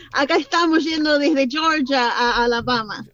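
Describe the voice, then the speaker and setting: Slow, high-pitched woman on muffled call. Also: fairly bright tone. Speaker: interviewed football fan. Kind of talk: casual live interview.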